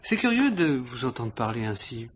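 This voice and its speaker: Hesitant, husky visitor. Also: male voice, medium pitch, big pitch swings, normal speed. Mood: probing. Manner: hesitant, stammering, apologetic, politely inquisitive. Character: awkward, probing male civil servant visitor. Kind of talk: 1950s French radio mystery acting.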